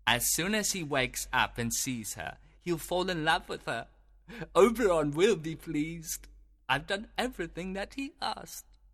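A man's voice: a little high, animated, a little slow, a bit bright, voice pushed up, full character voice. A man voicing an eager, mischievous sprite servant in a storybook, talking to himself in a gleeful, confiding way. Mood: self-satisfied. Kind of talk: storybook character voice.

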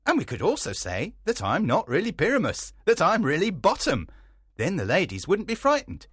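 A man voicing a self-important, opinionated amateur actor in a storybook, with emphatic delivery and a raspy voice. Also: high voice, huge pitch swings, moderate pace, fairly bright tone, dry recording, studio quality, pitched above the performer's own voice, heavily acted. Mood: fussy, insistent. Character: loud, self-important amateur actor fussing over his play. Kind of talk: storybook character voice.

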